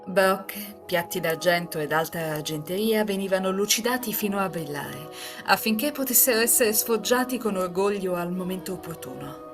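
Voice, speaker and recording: Woman with clear music behind her. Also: mid-range voice, expressive, moderate pace. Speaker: member of an amateur live reading group. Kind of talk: casual chat and scripted narration.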